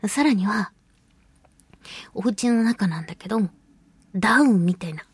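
A woman hosting a radio show solo, chatting casually and playfully to listeners with drawn-out words and colloquial asides. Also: mid-range voice, a little mellow, slightly rough, dry recording. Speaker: radio show host, voice actress.

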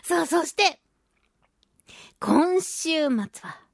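A woman hosting a solo radio talk show, chatting about daily life in an animated, giggly, exclamatory way. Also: very high-pitched, drawn-out words, creaky, no echo, studio quality. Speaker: voice actress.

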